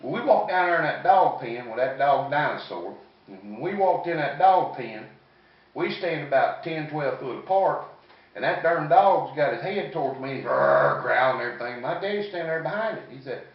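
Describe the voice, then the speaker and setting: A man's voice: a little high, lively intonation, normal speed. A man in his fifties with a Southern US accent doing stand-up storytelling in a folksy, rambling, salty-tongued way. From American South. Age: 50s. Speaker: comedian. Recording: echoey, distant, muffled room recording.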